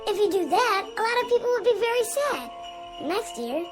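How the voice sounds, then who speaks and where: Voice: very high-pitched, sing-song, moderate pace. A performer voicing a small, chirpy, bossy Christmas elf in a radio drama, with music behind. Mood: brisk, urging.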